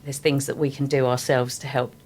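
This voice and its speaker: A middle-aged woman, a guest on a radio show, speaking with a voice deeper than usual because she is unwell, chatty and enthusiastic. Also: level tone, fairly quick, darkish tone, a little hiss or hum. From England. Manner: chatty, enthusiastic about her work. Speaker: guest, sales and marketing manager. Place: radio studio.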